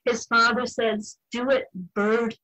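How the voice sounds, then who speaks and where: An older woman, a novelist being interviewed, speaking reflectively in a measured, firm way. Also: a little high, level tone, pushed and bright, dry recording, rough recording. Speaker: guest novelist.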